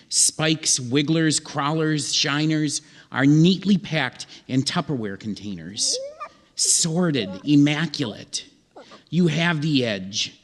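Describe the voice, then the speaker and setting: Slow, deliberate male storyteller in a hall. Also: a little high. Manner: deliberate, dramatic pauses. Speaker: narrator of a stage radio comedy sketch. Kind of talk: scripted second-person storytelling, old-time radio show. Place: live theatre stage. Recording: theatre hall reverb, faint noise.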